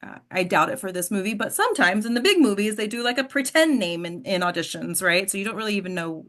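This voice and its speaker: A woman's voice: mid-range voice, lively intonation, fast, a bit bright. A woman hosting an informal workshop discussion as an acting coach, instructive and often checking in with the group. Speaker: host and acting coach leading a table read discussion.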